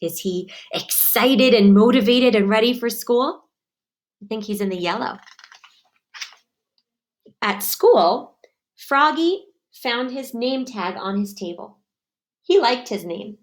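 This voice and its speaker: A woman's voice: fairly high pitch, lively intonation, slow, long pauses. A woman reading a children's storybook aloud expressively, in an instructive manner for young listeners. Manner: asks listeners simple questions, instructive.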